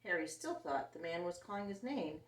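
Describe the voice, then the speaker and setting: A woman reads a picture book to little listeners in a lively, expressive voice that rises and falls a lot. The sound is roomy and she sits some distance from the mic. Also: on the low side, fairly quick. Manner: animated and expressive, voicing characters. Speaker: children's story reader. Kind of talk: reading a picture book aloud to children.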